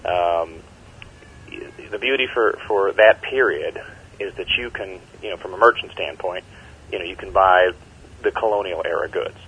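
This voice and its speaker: Deep male voice, enthusiastic event guest, muffled lo-fi phone recording. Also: moderate pace, fairly bright tone, a little hiss or hum. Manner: rambling, many fillers, enthusiastic. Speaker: event organizer, interview guest. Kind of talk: phone interview.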